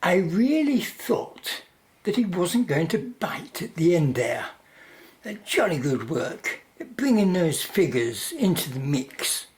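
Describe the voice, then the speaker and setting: A woman's voice: on the low side, very animated, slow, darkish tone. A woman playing a callous, smug, greedy mining magnate in a radio drama, with a British accent and a haughty drawl. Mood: smug, pleased.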